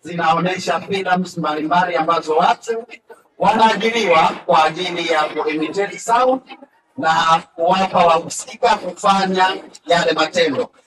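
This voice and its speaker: Bright-voiced male emcee in echoey hall. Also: high voice, moderate pace. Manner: enthusiastic, announcing. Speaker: master of ceremonies. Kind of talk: event hosting. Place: school hall event. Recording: echoey hall, far from mic, faint noise.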